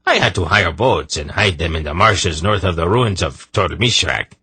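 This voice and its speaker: A man's voice: deep voice, lively intonation, moderate pace, pushed and bright, breathy edge, no room sound, full character voice. A man voicing a coarse, crafty male trader who talks bluntly. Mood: gruff.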